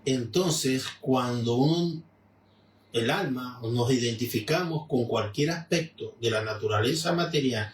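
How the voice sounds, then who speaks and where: A man reading a devotional text aloud and explaining it in a measured way with frequent restarts. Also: medium pitch, distant mic. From Venezuela. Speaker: religious lecturer reading and commenting. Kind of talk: spoken reading with commentary. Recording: echoey room, off-mic with faint noise.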